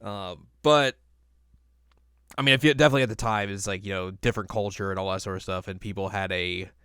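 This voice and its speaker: A man's voice: fairly low pitch, fairly even intonation, fairly quick, fairly bright tone, clear, resonant tone, dry recording, studio quality. A man hosting a podcast in a casual, chatty style. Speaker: podcast host. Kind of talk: casual chat about video game films.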